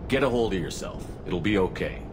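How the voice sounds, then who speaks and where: An actress playing a steady, compassionate, firm and direct military commander in a space video game. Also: female voice, very deep voice, a little fast, background noise. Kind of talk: video game character dialogue.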